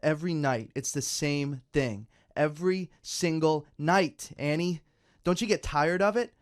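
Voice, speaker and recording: A man's voice: fairly high pitch, unhurried, lightly acted. A young man acting in a naturalistic radio drama as a worried, exasperated friend, urgent and earnest. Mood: exasperated, pleading.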